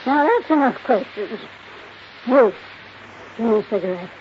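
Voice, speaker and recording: Actress as a crotchety, bossy old matriarch. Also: female voice, a little high, lively intonation, moderate pace, natural voice. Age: older. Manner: blunt, demanding, cantankerous. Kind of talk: 1950s radio drama acting. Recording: noisy, muffled old 1950s radio recording.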